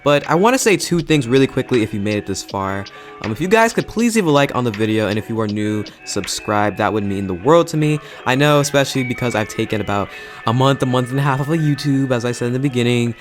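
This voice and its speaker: A man's voice: mid-range voice, lively intonation, fast talker, faint music behind. A young man hosting a casual video review in an enthusiastic, slangy, emphatic way. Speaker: YouTube host and film reviewer.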